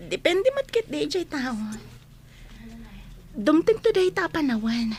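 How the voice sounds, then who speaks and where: A woman plays a young female student in a radio drama. Her voice is high, and she comes across as kind, frank and playful. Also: sing-song, unhurried, darkish tone, a little hiss or hum. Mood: frank, teasing. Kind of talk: Filipino radio drama acting.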